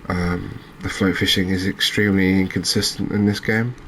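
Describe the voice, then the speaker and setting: A man giving gentle, unhurried ASMR commentary as he plays a game, pausing and hesitating as he goes. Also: low-pitched, level tone, a little hiss or hum. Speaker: host of a gaming channel. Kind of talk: ASMR let's-play commentary.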